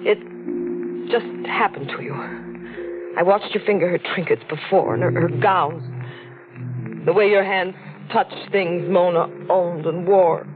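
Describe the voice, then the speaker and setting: A woman acting an intense, troubled, secretive young woman in a 1940s radio melodrama, with deliberate, dramatic phrasing. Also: lowish voice, music in the background, natural voice. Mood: tender, yearning. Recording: faintly noisy, narrow-band old radio recording.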